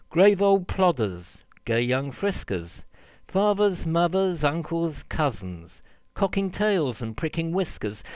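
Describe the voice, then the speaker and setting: A man reading a children's verse story aloud in an expressive, theatrical and rhythmic way. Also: a little high, no echo. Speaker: reader, storyteller.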